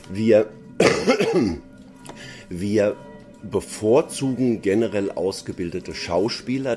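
A man's voice: fairly low pitch, a little mellow. A middle-aged man, a stage actor, answering interview questions conversationally in an earnest, emphatic way.

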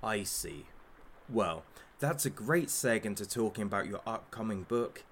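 An actor playing a menacing, mocking captor who interrogates with pompous sarcasm in an audio drama. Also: male voice, on the low side, expressive, unhurried, fairly bright tone, slight background noise. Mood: sardonic, menacing. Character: menacing, sneering, theatrical captor interrogating a young influencer. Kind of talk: audio drama villain monologue.

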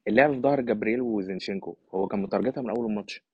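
A man's voice: lowish voice, rapid-fire, breathy edge. An Egyptian man giving casual, emphatic football analysis in Egyptian Arabic, as an opinionated podcast guest. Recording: thin phone-like line, slightly roomy.

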